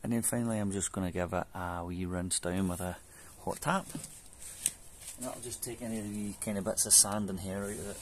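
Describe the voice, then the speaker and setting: Low-voiced man, chatty garden vlog. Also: steady tone, fairly quick, background noise. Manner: chatty, loose, with many ums and restarts. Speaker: home DIY vlogger. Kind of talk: casual how-to vlog. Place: back garden outdoors. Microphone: camera mic on a fixed camera.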